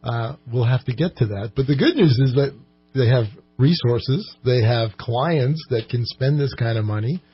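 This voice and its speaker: A man answering as a guest in an informal radio interview, explaining things in a measured, halting way. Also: medium pitch, slightly rough, muffled recording. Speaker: economic development corporation president, interview guest.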